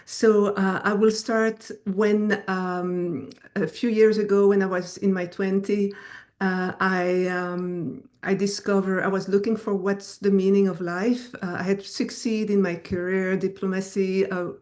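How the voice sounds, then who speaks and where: Middle-aged female guest, native French speaker with Americanized French accent. Even, little-varied pitch, halting personal storytelling. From Belgium. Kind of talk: personal storytelling in an online interview.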